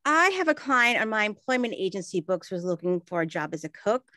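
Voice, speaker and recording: A woman's voice: medium pitch, big pitch swings, a little fast, sharp tone, dry recording, studio quality. An actress playing a refined, helpful lady of the 1920s, speaking politely and precisely in a period comedy. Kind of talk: period comedy radio-play acting.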